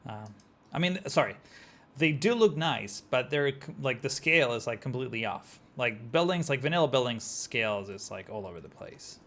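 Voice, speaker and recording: Gravelly man chatting over a city-building game. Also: medium pitch, lively intonation, moderate pace, fairly bright tone. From Argentina. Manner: rambling, chatty, talks to chat, many "like" fillers. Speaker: streamer. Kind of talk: casual gameplay commentary.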